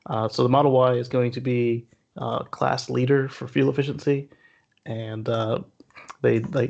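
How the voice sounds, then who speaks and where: A man with a gravelly, fairly middle-pitched voice talks through news casually as a show co-host. He speaks haltingly, and the audio is a bit dull and lo-fi. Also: a little mellow.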